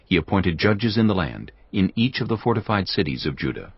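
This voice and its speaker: A man narrating scripture in a measured, storytelling delivery. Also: sits low, sing-song, slightly rough, no echo. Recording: dull lo-fi with music bed.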